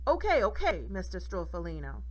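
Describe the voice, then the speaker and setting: A woman's voice: fairly low pitch, huge pitch swings, fairly quick, low-quality recording. A woman voicing a brash male boss in a children's storybook, a slangy wheeler-dealer. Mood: placating. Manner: casual slangy deal-making talk.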